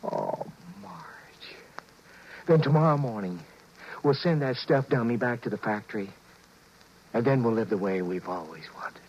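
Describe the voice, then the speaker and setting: A man's voice: medium pitch, animated, a little slow, a little mellow, a little hiss or hum, close to an everyday voice. A man acting a flustered, guilty husband who stammers and narrates his story in a 1950s radio drama. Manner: stammering, nervous, confiding narration. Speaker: actor playing George, narrator and lead. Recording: dull vintage 1950s radio broadcast.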